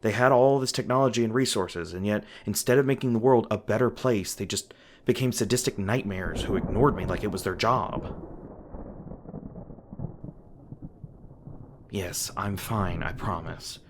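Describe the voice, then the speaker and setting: A man playing a weary, guarded monster hunter in an audio roleplay, gruff but caring, with halting, sardonic, sweary speech. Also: lowish voice, close to an everyday voice. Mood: defensive, annoyed. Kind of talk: audio roleplay, one-sided dialogue to the listener. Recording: noisy ambient sound effects behind voice. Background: ambient sound effects.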